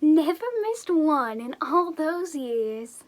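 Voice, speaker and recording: Opinionated American farm parent, played by a woman: high voice, slow and folksy, amateur stage acting. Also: lively intonation. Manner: unhurried, folksy. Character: plain-spoken, opinionated small-town farm parent.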